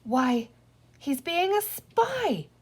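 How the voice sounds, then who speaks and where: Donna che fa una bambina coraggiosa e indignata, voce alta. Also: female voice, very high voice, sing-song, extremely slow, voice pushed up, full character voice. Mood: delighted. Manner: indignant, exclaiming. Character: Penny, brave cross young girl.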